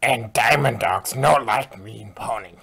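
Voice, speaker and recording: A man voicing a gruff, simple-minded diamond dog creature that speaks bluntly in broken grammar, in a fan fiction reading. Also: medium pitch, measured pace, sharp tone, heavily acted. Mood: gruff, defiant.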